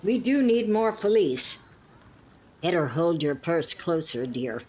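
A woman performs an elderly lady in a radio-play style, genteel and passive-aggressive. Her delivery is slow and very expressive, on the lower side for a woman. She sighs and needles politely, with some noise behind her. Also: breathy edge, heavily acted. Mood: fretful, judgmental. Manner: prim, sighing, pointedly polite barbs. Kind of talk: scripted two-hander audio comedy-drama.

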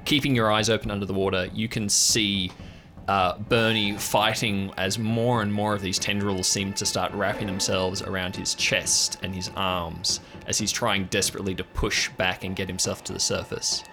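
Guy running a roleplay story as game master, lowish voice, faint music behind. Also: level tone, normal speed, pushed and bright. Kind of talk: improvised narration of a tabletop audio drama.

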